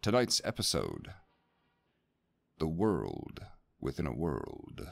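A young man hosts a radio show at a desk microphone. His deep voice has a creaky grain and lively, scripted announcer intonation. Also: takes it slowly, dry recording. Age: young adult. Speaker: host, announcer.

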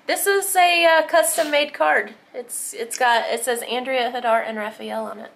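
A woman's voice: high voice, normal speed, creaky, a bit bright, slight background noise. A woman vlogging at home, chatting casually while she holds up greeting cards and reads them aloud into a handheld camera. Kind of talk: casual vlog narration.

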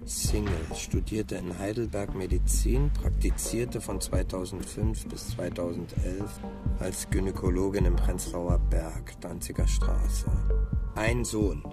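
Male police detective, lowish voice, clipped, matter-of-fact, radio crime drama, clear music underneath. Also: fairly even intonation, a little slow. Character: sober, thorough male police detective reading case facts. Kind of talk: naturalistic German radio drama acting.

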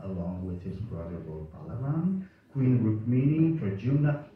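A man reading scripture dramatically as a narrator, recorded in a wood-panelled hall. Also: on the low side. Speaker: narrator and reader. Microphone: microphones on stands. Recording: echoey room, distant, dull, faint noise.

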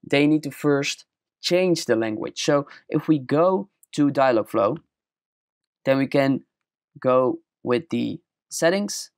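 A young man with a Dutch accent walking through a screen-recorded software tutorial step by step, in a plain explaining manner. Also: mid-range voice, slow pace, no echo, studio quality. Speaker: tutorial presenter.

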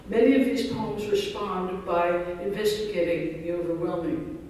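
Male keynote lecturer reading a scholarly paper, high-pitched, distant mic in a reverberant hall. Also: dark tone, a little hiss or hum. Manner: dense scholarly phrasing, self-deprecating asides. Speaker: keynote speaker. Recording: echoey hall recorded from far off.

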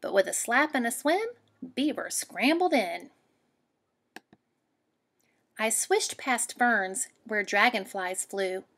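A woman, a teacher giving an expressive, explanatory read-aloud lesson for children. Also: on the high side, slow, long pauses.

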